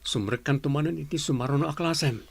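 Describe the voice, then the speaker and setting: Creaky, lighter-voiced male student. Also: fairly high pitch, fast talker. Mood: pleading, earnest. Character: young male student, struggling and pleading for help. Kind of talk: audio drama acting.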